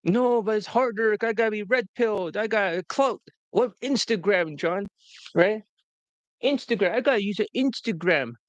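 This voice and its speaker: A man's voice: moderate pace, no echo. Brash, profane male dating coach. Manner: brash, profane, mocks students in high-pitched quoted whines. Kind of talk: blunt promotional coaching talk.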